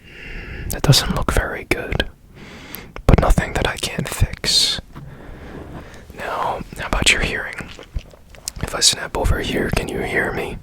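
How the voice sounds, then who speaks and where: A man whispering in a breathy, deliberate way up close to the mic, in an ASMR roleplay as a mysterious repairman. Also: rough recording. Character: strange secretive man repairing a broken robot. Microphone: close ASMR microphone.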